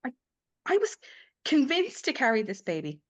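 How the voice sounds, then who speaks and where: Woman acting high-pitched, emotionally volatile sardonic mother. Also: huge pitch swings, exaggerated voice. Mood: distraught. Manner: sarcastic, halting when upset, emphatic. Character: Lily, sardonic young mother, anguished, fiercely emotional. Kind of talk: live screenplay table read, dramatic acting. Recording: dull lo-fi audio.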